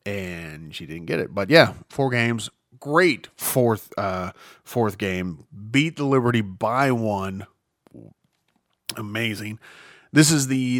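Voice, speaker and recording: A man's voice: medium pitch, no echo, studio quality. A man hosting a casual solo news podcast, rambling and swearing, poking fun at himself as he jumps from topic to topic. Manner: rambling, profane, self-mocking, jumps between topics. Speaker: podcast host.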